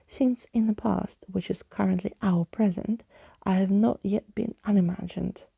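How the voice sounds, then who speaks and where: A woman's voice: mid-range voice, steady tone, moderate pace, creaky, warm tone, husky, no echo. A woman voicing a theatrical, wistful yet gleeful old male skeleton in a storybook, with florid old-fashioned speech.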